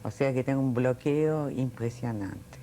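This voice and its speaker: A woman in her late sixties, born in Italy, telling her life story in an oral history interview, reflective and unhurried with frequent fillers. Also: a bass voice, dark tone, a little hiss or hum. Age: 60s.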